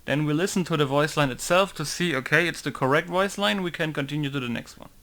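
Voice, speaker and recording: A man's voice: fairly high pitch, quick pace, sharp tone. Rambling man thinking aloud in a tutorial. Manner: rambling, thinking aloud, leans on "basically" and "right?". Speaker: game modder walking through a tutorial. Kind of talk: casual screen-recorded tutorial.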